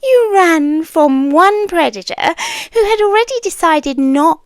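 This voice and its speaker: A woman performs a female scorpion creature from a yandere ASMR roleplay, possessive and calmly menacing. Her British voice is high and swoops expressively between soft murmurs and breathy whispers. She speaks with teasing, condescending tenderness, very close and dry. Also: unhurried, no room sound. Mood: amused, possessive.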